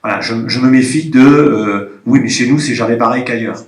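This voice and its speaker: A man's voice: mid-range voice, moderate pace. A man with a cold speaking up from a workshop audience, reflective and hedging. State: has a cold. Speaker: participant from a regional agriculture office. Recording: roomy conference hall sound.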